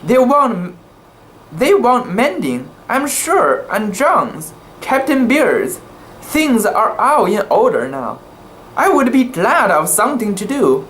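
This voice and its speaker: A reader voicing a kindly, eager old sea captain's wife in a dramatic literary reading, with a gentle, halting delivery. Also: mid-range voice, big pitch swings, pitched above the performer's own voice. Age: older.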